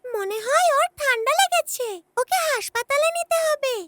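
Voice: very high-pitched, animated, moderate pace. A performer voicing a playful, earnest small child with a chirpy way of talking in a children's cartoon.

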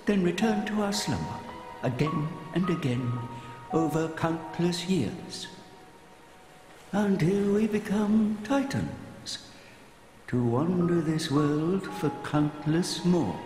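A man playing an ancient, weary, grandfatherly titan who muses in a brooding, deliberate way in a game cutscene. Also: high-pitched, big pitch swings, exaggerated voice. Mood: bitter, weary. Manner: brooding, slow and deliberate, philosophical. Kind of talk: dramatic game cutscene acting. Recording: echoey with long reverb, music behind.

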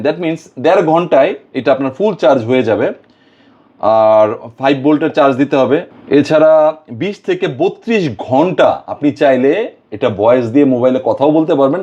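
A man with a Bangladeshi accent and a fairly high voice presents a product in a casual unboxing style. He drops English phrases into his explanations. The recording sounds a little dull and lo-fi. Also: a little mellow. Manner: mixes English words and phrases into his talk. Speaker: product reviewer and video host.

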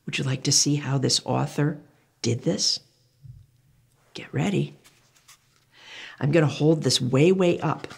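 An older woman reading a picture book aloud to children, animated and exclamatory, asking the young listener questions as she goes. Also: low voice, measured pace, vocal fry, long pauses, slightly rough.

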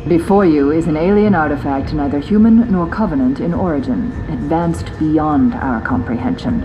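A woman's voice: low-pitched, normal speed, dull audio, lightly acted. A woman playing a stern older scientist in a game cutscene, speaking formally and precisely. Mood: commanding. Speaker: scientist character. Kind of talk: dramatic video game cutscene acting. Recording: game soundtrack music behind.

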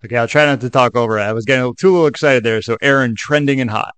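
A man's voice: mid-range voice, very fast, a bit bright, clear, resonant tone, no room sound. A man hosting a casual screen-share tutorial in a chatty way, with joking asides. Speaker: tutorial video host.

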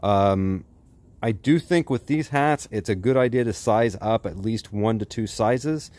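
A man's voice: lowish voice, measured pace, a little mellow, dry recording. A man in his forties with an American accent gives a casual, conversational gear review outdoors in a backyard.

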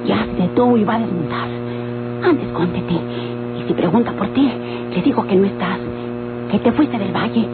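A woman's voice: medium pitch, lively intonation, moderate pace, very noisy, close to an everyday voice. An actress playing an anxious, protective country mother with a Mexican accent in an old radio soap opera. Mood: worried, evasive. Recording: tinny vintage radio recording with loud music.

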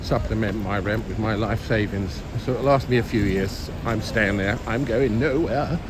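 A man's voice: lowish voice, moderate pace. Older man vlogging on a noisy street. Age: older, late sixties or above. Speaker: live-streaming city walk vlogger. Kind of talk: casual rambling chat to viewers while walking. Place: outdoors on busy city streets. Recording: clear street and traffic noise. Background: urban street ambience, vehicles.

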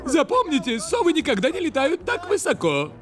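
An actor voicing an excitable, cheeky small male bird sidekick in a dubbed cartoon, with a shrill, jokey manner. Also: fairly quick, exaggerated voice. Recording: cartoon soundtrack with loud music.

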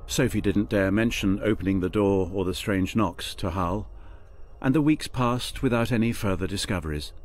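An actor playing a wry storybook narrator, relishing each descriptive word in scripted radio drama narration. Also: male voice, fairly low pitch, moderate pace, faint music behind, natural voice.

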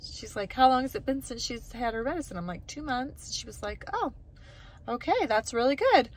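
A woman's voice: a little high, lively intonation, normal speed, a little hiss or hum, low-quality recording. Chatty woman vlogging from her car. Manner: chatty, acts out quoted voices animatedly. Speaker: family vlogger. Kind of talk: casual vlog talk to camera. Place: parked car, daytime. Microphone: phone camera held close.

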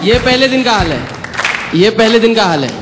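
A man's voice: sits high, expressive, fairly quick, long reverb tail, music in the background, crowd noise. A man in his thirties on a TV stage, telling a wry story and singing snatches of songs. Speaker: guest judge. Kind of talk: casual chat on a TV show. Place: TV studio stage. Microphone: handheld mic.

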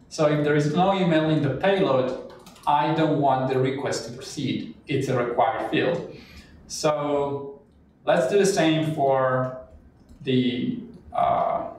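A man's voice: medium pitch, darkish tone, distant mic, slight background noise. Italian man thinking aloud in echo. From Italy. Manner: chatty, thinks aloud while typing. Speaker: cloud developer presenter. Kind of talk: live coding demo.

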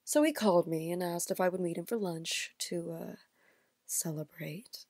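A woman performs a mysterious, alluring stage actress in an audio play. Her voice is on the low side, poised and dramatic. The sound is dry and close. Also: normal speed, darkish tone, dry recording. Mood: wistful, confiding.

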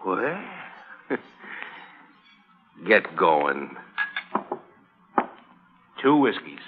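A slow, jeering cowhand bully. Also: male voice, low voice, big pitch swings, exaggerated voice. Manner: jeering, drawn-out. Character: taunting cowhand bully, adult man, cocky and mean. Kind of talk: 1950s radio acting. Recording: muffled, noisy old radio recording.

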